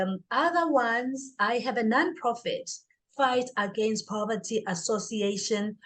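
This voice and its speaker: A woman speaking as an interview guest, a life coach and author, in an earnest, motivational way. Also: a little high. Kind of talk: interview answer.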